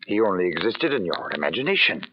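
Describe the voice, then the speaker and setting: A man's voice: sits low, very animated, fast, slightly rough, dull audio, heavily acted. An actor playing an agitated lover in an old radio thriller, emphatic and theatrical as he strains to stay rational. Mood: forced calm. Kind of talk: 1940s radio thriller acting. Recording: noisy old band-limited radio recording.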